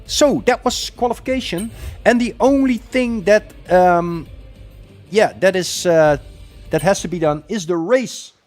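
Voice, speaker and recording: A man's voice: high voice, measured pace, faint music behind. A man from the Netherlands with a Dutch accent, chatting casually on a gaming live stream with many ums and uhs.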